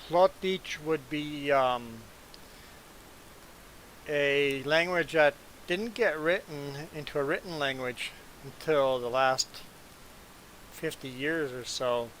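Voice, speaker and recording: A man hosting a live stream, chatting casually with viewers; his first language is Low German and he grew up in Belize and Canada. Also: takes it slowly, pauses often, slight background noise, low-quality recording. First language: Plautdietsch (Low German). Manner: relaxed, chatty, answering viewers' chat. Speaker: livestream host.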